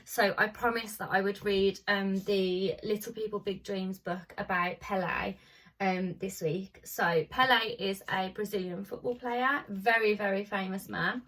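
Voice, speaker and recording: Woman, primary teacher type for children's story time: slightly rough-edged voice, informal delivery, mic a bit distant. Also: mid-range voice, steady tone, a little slow, a bit bright. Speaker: primary school teacher reading to pupils. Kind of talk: reading a children's picture book aloud with informal asides.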